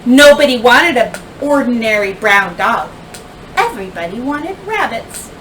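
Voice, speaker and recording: A woman reads a children's storybook aloud in a high, bright voice. She takes it very slowly, leaning on key words and leaving dramatic pauses like a classroom teacher. The recording sounds a little distant from the microphone. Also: sing-song. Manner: deliberate storyteller phrasing with dramatic pauses and emphasis. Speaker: teacher reading a picture book aloud. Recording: distant mic, faint background noise.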